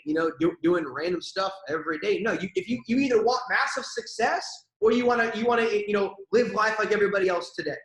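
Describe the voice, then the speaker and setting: A young man giving an energetic, repetitive motivational pep talk to his team as a network marketing leader. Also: high voice, echoey room, poor audio.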